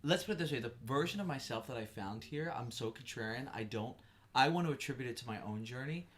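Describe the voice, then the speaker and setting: A man's voice: medium pitch, lively intonation, quick pace, fairly bright tone. A man from Philadelphia, a podcast guest and singer, chatting candidly with a joking, self-mocking manner on a headset mic in a podcast studio. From Philadelphia, USA. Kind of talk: casual chat.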